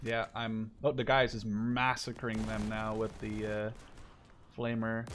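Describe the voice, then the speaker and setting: A man in his twenties from the Netherlands, chatting casually while playing a shooter, swearing and yelling when things go well or badly. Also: on the low side, measured pace, slight background noise. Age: 20s. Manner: excitable, swears and shouts at big moments. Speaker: gaming YouTuber. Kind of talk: casual gameplay chat. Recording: faint game gunfire behind.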